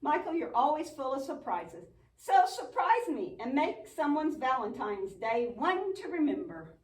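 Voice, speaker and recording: Woman playing a high, lively, earnest puppet friend. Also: unhurried. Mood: teasing. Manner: earnest, explanatory, chiding. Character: earnest, patient, bossy young best-friend puppet. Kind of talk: church puppet show acting. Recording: echoey room, distant mic.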